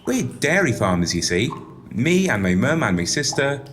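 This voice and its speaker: A woman's voice: very deep voice, big pitch swings, moderate pace, a little hiss or hum. A woman playing a plucky, naive young farm boy in an audio pantomime, earnest and inquisitive. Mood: chatty, explaining.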